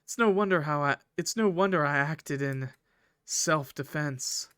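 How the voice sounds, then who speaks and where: A man acts out a courteous villain from a visual novel, a train conductor with formal, eloquent speech. He takes his time over each phrase and keeps a quiet menace under the politeness. The sound is close and dry. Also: fairly high pitch, unhurried, smooth, no room sound. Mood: calm, menacing. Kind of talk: visual novel character read aloud.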